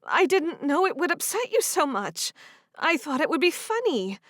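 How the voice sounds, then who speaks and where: A woman's voice: sing-song, normal speed, fairly bright tone, no room sound, studio quality. A woman playing a bold, defensive young woman. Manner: pleading, high and emphatic.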